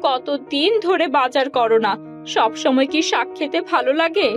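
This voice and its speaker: A woman's voice: high voice, moderate pace, music in the background, close to an everyday voice. A woman voicing an indignant young wife in a cartoon, scolding and complaining in a plaintive way. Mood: nagging, indignant. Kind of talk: Bengali cartoon voice acting.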